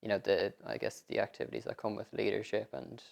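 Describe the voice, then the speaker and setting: A man's voice: lowish voice, little pitch movement, fast, creaky. A young man asking questions conversationally as an interviewer. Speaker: interviewer, PhD student.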